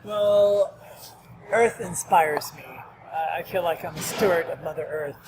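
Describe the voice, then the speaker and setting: A man's voice: on the high side, unhurried, background noise. A man who grew up in Nigeria in a Lebanese family, a restaurant owner giving earnest, rambling interview answers with many ums, recorded in a restaurant. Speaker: restaurant owner, podcast guest.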